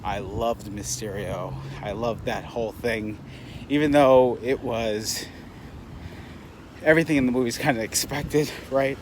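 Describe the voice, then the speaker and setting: A man giving a casual, unscripted movie review as a vlogger, in a halting, rambling way that trails off mid-thought. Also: mid-range voice, fairly even intonation. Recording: loud outdoor urban traffic noise.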